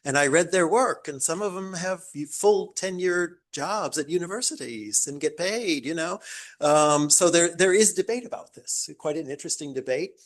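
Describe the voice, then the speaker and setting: A man's voice: on the high side, expressive, normal speed. An older American man giving a chatty academic lecture to a live audience, with joking, self-deprecating asides. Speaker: guest lecturer. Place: lecture room.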